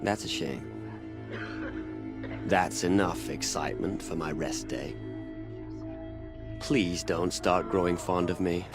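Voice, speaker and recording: An actor playing a jaded, sardonic healer in a video game, speaking with dry wit. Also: male voice, low voice, steady tone, slow pace, pauses often, music in the background. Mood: weary, curt. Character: jaded sardonic healer, man, reluctant to care. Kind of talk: cinematic video game acting.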